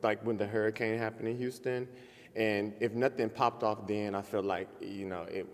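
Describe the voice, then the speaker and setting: A man's voice: lowish voice, fairly even intonation, normal speed. A man in his late twenties, a dating show contestant, friendly and thoughtful with hesitant ums. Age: 20s. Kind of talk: casual chat. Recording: echoey hall.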